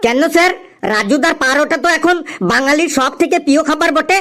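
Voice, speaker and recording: A man's voice: extremely high pitch, fast talker, bright, forceful tone, exaggerated voice. A man voicing a cheeky, food-obsessed schoolboy in a comic cartoon, reciting eagerly in a sing-song way. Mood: cheeky, innocent.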